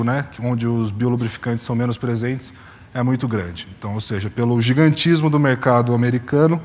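A man's voice: medium pitch, a little mellow, in a large hall. A man in his thirties from Brazil giving a conference presentation on a stage, explaining with frequent fillers and restarts into a lapel mic. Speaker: conference speaker. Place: conference stage in a large hall.

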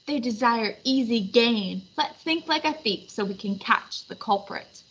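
A woman's voice: on the high side, expressive, measured pace, fairly bright tone, slightly rough, highs cut off, exaggerated voice. A woman voicing a commanding, shrewd medieval earl in a storybook. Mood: shrewd, resolute.

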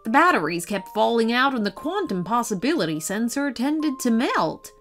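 A woman voicing a gentle, modest old grandpa inventor, wondering and kindly, in a children's story. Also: a little high, very animated, normal speed, faint music behind, voice pushed up. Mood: delighted.

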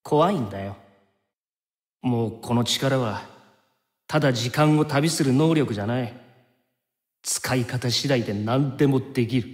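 An actor playing an easygoing, soft-hearted young fighter in an anime game, casual in speech yet earnest. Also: male voice, mid-range voice, a little slow, pauses often, natural voice. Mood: anxious, subdued. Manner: casual, breezy talk with an earnest core. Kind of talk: anime game cutscene acting. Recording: long reverb, music behind.

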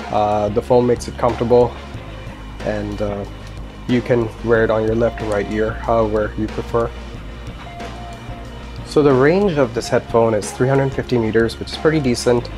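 Man, mid-pitched voice, conversational gear reviewer, casual delivery, instrumental music underneath. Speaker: gear reviewer, indie filmmaker. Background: instrumental music with guitar.

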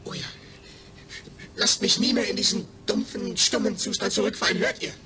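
A man's voice: sits high, fairly even intonation, normal speed, gravelly, sharp tone, a little hiss or hum, full character voice. A man playing an eager, needy astronaut's brain speaking emphatically through a speech synthesizer in a radio drama. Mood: excited, relieved. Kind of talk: German radio drama acting.

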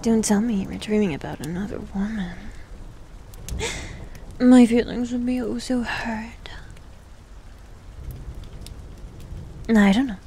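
A woman playing a needy, teasing, doting succubus demon servant in an intimate ASMR roleplay, breathy and mostly whispered, close to the microphone. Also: a little high, warm tone, slight background noise, close to an everyday voice. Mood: pouting, jealous. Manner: coy, teasing, slow and drawn-out.

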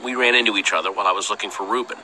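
A man's voice: lowish voice, fairly even intonation, racing, pushed and bright, slight background noise, rough recording. A man voicing an eager, good-natured young male hero in a video game, earnest, boyish and jokey. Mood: eager, upbeat. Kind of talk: animated game dialogue.

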